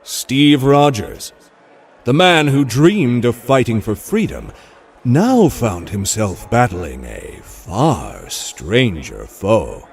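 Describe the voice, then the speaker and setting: A man reading scripted audio drama narration in a measured, suspenseful storytelling manner. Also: medium pitch, very animated, gravelly, distant mic.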